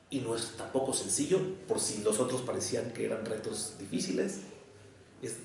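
A man with a Mexico City accent lectures in a slow, deliberate cadence, breaking his thoughts into short phrases. His voice has a gravelly edge and sits at an everyday pitch. The recording is heavily echoey, with the mic well away from him. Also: fairly bright tone. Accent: Mexican Spanish, Mexico City. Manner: measured, deliberate, with short pauses between phrases. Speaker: psychoanalyst giving a lecture. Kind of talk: academic lecture to an audience. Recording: echoey room, voice picked up from far off.

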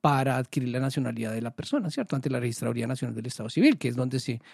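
A man, a legal expert guest explaining procedures in halting speech with many restarts and tag questions. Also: mid-range voice, vocal fry, a little mellow, breathy edge, no echo, clean studio sound. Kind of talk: explanatory radio interview.

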